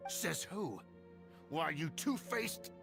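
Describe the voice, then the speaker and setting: A scheming, cowardly older nobleman from a fantasy game, obsequious and full of bluster. His fairly high voice swings widely in pitch and tends to stammer. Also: sing-song, takes it slowly, creaky, over music, heavily acted. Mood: indignant. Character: scheming, evasive, cowardly old clan nobleman. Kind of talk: dubbed video game cutscene acting.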